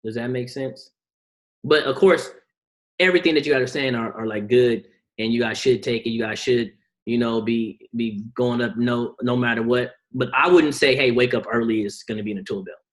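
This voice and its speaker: A man's voice: mid-range voice, moderate pace. Casual man hosting a team video call. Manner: casual, rhetorical questions, slangy.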